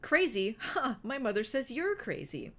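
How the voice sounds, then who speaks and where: A woman's voice: fairly high pitch, big pitch swings, unhurried, pushed and bright, voice pushed up, heavily acted. A woman voicing a shy, tearful young girl, speaking in a raised, hesitant voice. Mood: timid, teary. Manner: hesitant, shaky speech.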